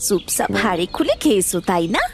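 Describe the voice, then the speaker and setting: A woman's voice: medium pitch, big pitch swings, fast, background noise. A woman voicing the lines of a bossy, scolding housewife in a cartoon. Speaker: voice actor voicing the housewife and the maid. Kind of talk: cartoon dialogue. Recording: loud music under the voice.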